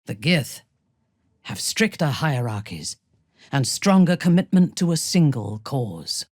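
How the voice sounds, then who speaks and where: A haughty female dark elf warrior from a fantasy video game. She speaks slowly in a husky voice, cold and commanding. Her pitch moves widely as she shifts her weight across each measured, menacing phrase. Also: sing-song, no room sound, clean studio sound, heavily acted. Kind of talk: video game character voice acting.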